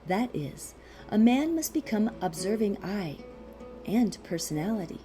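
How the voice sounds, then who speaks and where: Mellow woman reading over soft guitar. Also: mid-range voice, very animated, unhurried. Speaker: audiobook reader. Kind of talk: scripted narration of a philosophical text.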